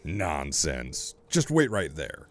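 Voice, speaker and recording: A man's voice: very deep voice, huge pitch swings, slow, a little hiss or hum, exaggerated voice. A man playing a genial middle-aged father pony in a horror story, affable and chatty with hidden menace. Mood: cheery. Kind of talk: character acting in an audio horror story.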